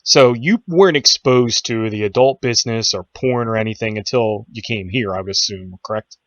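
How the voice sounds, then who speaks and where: A man's voice: lowish voice, normal speed, a bit bright, no room sound. A man hosting a podcast, asking rambling questions full of fillers and laughing often. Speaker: podcast host. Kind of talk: casual interview. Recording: dull lo-fi sound, dry.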